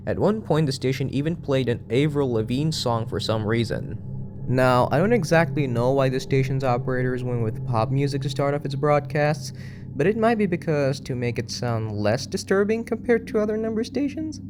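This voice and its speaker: Man narrating over clearly noisy audio. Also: mid-range voice. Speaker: YouTube video narrator. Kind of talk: explanatory documentary-style narration.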